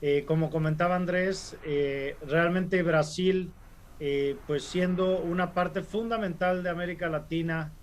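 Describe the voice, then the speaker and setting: A man hosts a webinar over a video call. His voice sits a little high for a man, and he talks in a relaxed way, with frequent "eh" fillers. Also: unhurried, a little hiss or hum. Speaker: webinar host. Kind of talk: casual webinar hosting.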